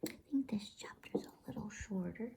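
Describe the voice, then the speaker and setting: A woman narrating an ASMR bedtime story in a soothing, unhurried, hushed manner. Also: on the high side, creaky, breathy edge.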